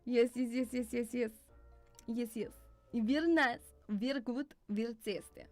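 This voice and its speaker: A woman with a mixed European accent touched by Scottish, chatting casually as a livestream host in an excitable, rambling, sweary way. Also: a little high, takes it slowly, soft background music. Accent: mixed European with Scottish influence. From Lithuanian-Russian background, partly grew up in Scotland.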